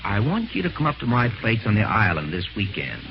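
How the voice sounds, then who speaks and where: A man playing a scheming, sardonic husband in an old-time radio mystery, smooth, deliberate and falsely genial. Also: on the low side, huge pitch swings, noisy background, full character voice. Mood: slyly casual. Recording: muffled vintage radio, music behind.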